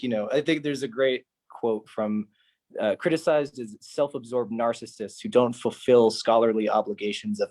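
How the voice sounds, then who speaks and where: A man with a somewhat low voice takes part in an academic panel. He talks casually and hesitantly, thinking aloud as a researcher. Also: a little mellow.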